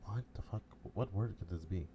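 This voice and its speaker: A man's voice: low voice, a little fast, vocal fry, lo-fi sound, slight background noise. A man casually chatting as he streams a game, swearing and thinking aloud. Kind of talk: casual chat while playing a game. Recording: game music behind voice.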